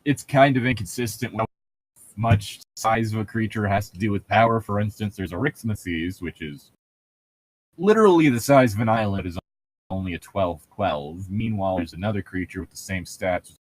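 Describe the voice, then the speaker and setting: A man's voice: lowish voice, normal speed, dry recording, poor audio. A man co-hosting a casual book-reading podcast, chatting in a rambling way and reading prose aloud, with casual swearing. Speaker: podcast co-host reading a novel aloud with commentary.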